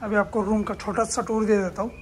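A man's voice: high voice, flat delivery, a little fast. A young man in his twenties chatting casually to viewers on a road-trip vlog, filming himself at arm's length and explaining prices and places. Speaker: travel vlogger. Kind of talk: casual travel vlog narration. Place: outdoors at markets and beach, also in a car and a room. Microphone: phone camera at arm's length. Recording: noisy outdoor phone audio.

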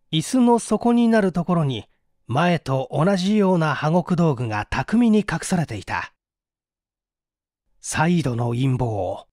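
A male audiobook reader delivers mystery narration with theatrical suspense. His voice sits fairly high and moves widely in pitch. The sound is dry and close. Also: no echo. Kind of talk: dramatic scripted narration of a mystery story.

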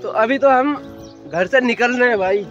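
A young man with a very high voice chats to his phone camera outdoors, teasing friends and laughing as he talks. Loud music plays under him. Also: moderate pace, muffled recording. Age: young adult. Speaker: village vlogger. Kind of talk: casual vlog chat to camera. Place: outdoors in a village and on a moving bike. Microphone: phone held at arm's length.

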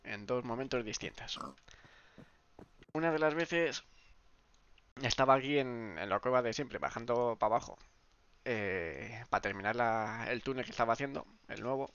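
A man's voice: moderate pace, pauses often, a bit bright, clear, resonant tone, muffled recording. Congested man streaming a game. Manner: rambling, many restarts, low mumbled asides. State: congested, sore throat. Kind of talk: casual live-stream chat.

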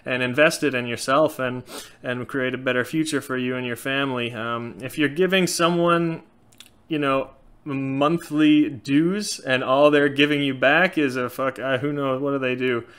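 A man in his thirties with a light Norwegian accent answers viewer questions in a casual, outspoken way. His voice is bright and crisp. He sits close to a webcam in a small indoor room. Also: mid-range voice, moderate pace. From Norway. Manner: frank, animated, gestures a lot. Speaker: channel host. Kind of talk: casual Q&A chat.

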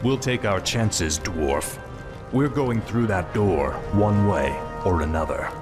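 A haughty, impatient dark knight from a fantasy game. His low, hard-edged voice gives cold orders and dry retorts, with game music playing clearly behind him. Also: expressive, slow. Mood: scornful, commanding. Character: cold, arrogant, impatient fallen prince turned death knight. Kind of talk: fantasy video game voice acting.

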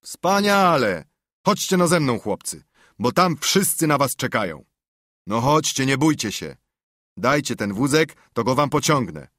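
A man voicing a hearty, loud old man calling boys over in a jovial way, with a husky voice. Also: mid-range voice, very animated, a little slow, dry recording, studio quality, pitched above the performer's own voice.

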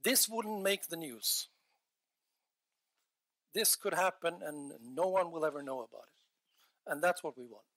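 Bright-voiced man presenting at a conference. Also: a little high, unhurried, long pauses, no echo, studio quality. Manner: earnest, animated gestures, frequent restarts. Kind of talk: technical conference talk. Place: large conference hall. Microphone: headset mic.

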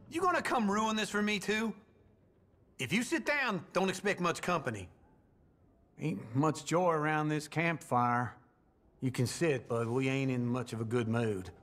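Western game role: folksy old American outlaw loafer, weathered voice, grumbling, slow delivery with big swings in pitch. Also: male voice, fairly bright tone. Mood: weary, subdued. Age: older. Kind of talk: video game ambient greetings, western.

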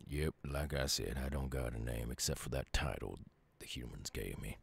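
A man playing a gruff, lonely but gentle werewolf with a Southern US accent in an ASMR roleplay, speaking softly and haltingly. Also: exaggerated voice. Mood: subdued. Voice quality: gravelly, very deep, husky.

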